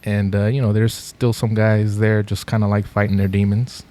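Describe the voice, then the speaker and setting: A middle-aged man from Los Angeles, a podcast guest telling his story in a relaxed, rambling way with lots of fillers. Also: on the low side, moderate pace. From Los Angeles, USA. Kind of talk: casual podcast interview.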